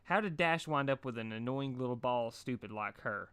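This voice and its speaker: A man narrates fan fiction in storybook style. The voice has a medium pitch, and the recording is dry with little room sound. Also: normal speed, a bit bright, clear, resonant tone, dry recording.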